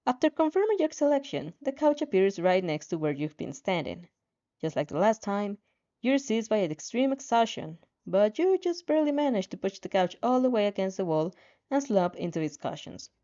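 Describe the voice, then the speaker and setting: A woman's voice: medium pitch, sing-song, moderate pace, dark tone, lo-fi sound. A woman reading a story aloud, often stumbling and repeating words as she narrates. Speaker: fan fiction narrator.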